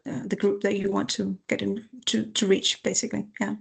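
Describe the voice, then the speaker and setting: A woman's voice: mid-range voice, level tone, fairly quick, creaky, breathy edge. A middle-aged woman, a former company CEO, giving practical advice while answering audience questions in a webinar.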